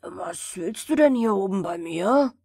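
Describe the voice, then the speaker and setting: A woman voicing a gruff, grim, bearded old keeper in a fairy tale, grumbling as he talks. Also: medium pitch, animated, a little slow, dark tone, no echo, studio quality, exaggerated voice. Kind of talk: fairy tale storybook reading.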